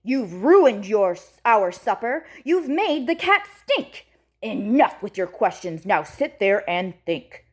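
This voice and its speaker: A woman's voice: medium pitch, very animated, slow pace. A woman voicing the exasperated parents of a small child in a storybook, stern and emphatic as they scold.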